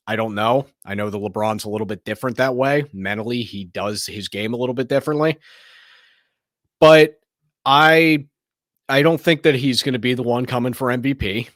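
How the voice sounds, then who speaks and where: A man hosting a sports show, giving casual, opinionated commentary in a slightly hoarse, raspy voice, rambling and often restarting his sentences. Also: mid-range voice, a bit bright, no echo, studio quality.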